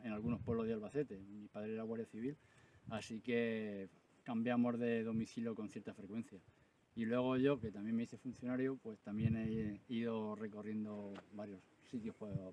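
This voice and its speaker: A man's voice: lowish voice, darkish tone. A man from Moratalla, a guest in an outdoor interview, talking in a matter-of-fact way. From Moratalla, Spain. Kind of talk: relaxed interview talk. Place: outdoors in the countryside. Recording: distant mic, noisy outdoors.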